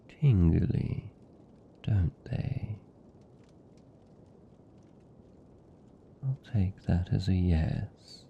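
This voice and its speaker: Man acting a very low, gravelly British vampire. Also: heavily acted. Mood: sensual, teasing. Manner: slow, murmured, coaxing delivery. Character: possessive, doting, teasing male vampire captor. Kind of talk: intimate ASMR roleplay acting. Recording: muffled, rumbly, faint background noise.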